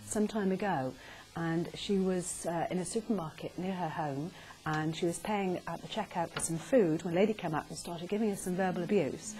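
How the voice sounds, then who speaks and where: A woman's voice: lowish voice, normal speed, hardly any pauses, dark tone, a little hiss or hum, low-quality recording. A woman interviewer chatting conversationally as she hosts a live on-stage interview before an audience.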